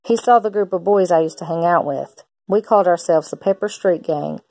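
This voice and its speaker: A woman's voice: on the low side, brisk, dark tone, no room sound, rough recording. A woman reading a children's picture book aloud like a teacher, expressively acting out the characters' lines.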